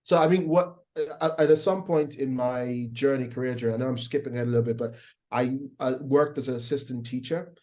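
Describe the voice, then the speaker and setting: A man's voice: mid-range voice, brisk. Man with a Nigerian accent, American twang. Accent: Nigerian with a faint American twang. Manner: relaxed, rambling with many fillers and restarts. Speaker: guest. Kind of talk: casual interview chat.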